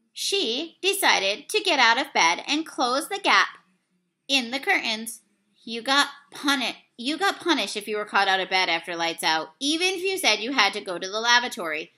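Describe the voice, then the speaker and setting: Expressive young woman reading a storybook. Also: on the high side. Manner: expressive storytelling, swells and hushes for suspense; apologizes for slips and losing her place. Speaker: teacher reading aloud. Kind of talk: children's book read-aloud. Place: small room at home, plain wall behind. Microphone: webcam at desk distance.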